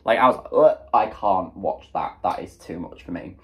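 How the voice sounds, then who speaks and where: A young man with a cold, casually reviewing a film, chatty and animated, full of fillers and acted-out reactions. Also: deep voice, normal speed, slightly rough. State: sniffly with a cold. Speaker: movie reviewer.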